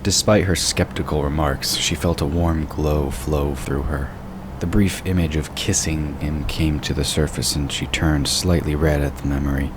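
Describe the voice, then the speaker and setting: Male narrator for fantasy audio drama: extremely low voice, scripted storytelling, clear noisy ambience underneath. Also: steady tone, moderate pace. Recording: clearly noisy, sound effects behind. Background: rumbling ambience and sound effects.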